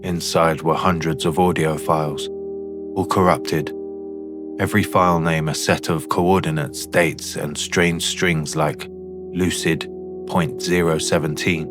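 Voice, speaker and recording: Man playing a very deep-voiced haunted signal technician. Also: steady tone, takes it slowly, over music. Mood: haunted, uneasy. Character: haunted male signal technician recounting cosmic horror. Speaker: horror story narrator. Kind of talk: slow first-person horror narration.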